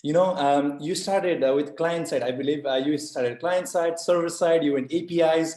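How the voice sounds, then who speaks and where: A man's voice: a little high, normal speed, warm tone. A man from Nepal giving a casual, effusive and earnest testimonial as a former course student. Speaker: IoT solution architect, course alumnus giving testimonial. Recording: roomy echo.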